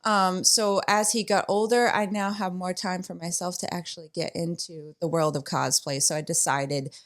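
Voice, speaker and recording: A woman's voice: lowish voice, steady tone, moderate pace. A woman in her thirties from Massachusetts, a cosplayer guest answering in a friendly, easygoing way. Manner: friendly, easygoing, light laughs. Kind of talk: casual interview answers.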